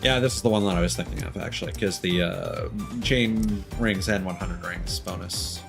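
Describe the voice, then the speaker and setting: A man with a lowish voice gives relaxed, off-the-cuff commentary on a video game. He thinks aloud haltingly, and game music plays clearly behind him. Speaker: game streamer. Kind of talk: casual gameplay commentary.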